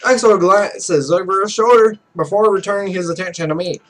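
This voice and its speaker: A man with a high voice reads a visual novel aloud for a gaming video. His delivery is theatrical, and he stumbles over the text and drops in asides of his own. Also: a little fast.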